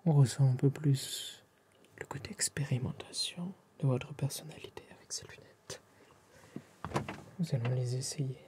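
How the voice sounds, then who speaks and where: A man with a French accent whispers softly and breathily in an ASMR roleplay, addressing the listener politely and formally as an attentive tailor, close to the microphone. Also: medium pitch, a little slow. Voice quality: soft, breathy whispering and hushed speech.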